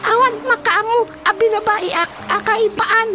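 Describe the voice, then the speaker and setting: A woman's voice: very high-pitched, fairly even intonation, measured pace, heavy background noise. A woman with an Ilocano accent playing a mocking, teasing female tormentor who giggles constantly in a radio drama comedy. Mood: mocking, gleeful. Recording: music behind the voice.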